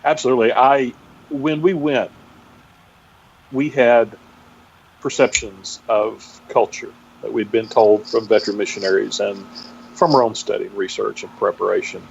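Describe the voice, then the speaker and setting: A middle-aged man with a Texan accent giving a reflective interview as a guest, speaking haltingly and searching for his words. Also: on the low side, steady tone, gravelly, darkish tone. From Texas, United States. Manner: halting, searching for words with repeated false starts. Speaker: interview guest, pastor and seminary teacher. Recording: dull, band-limited audio with faint noise.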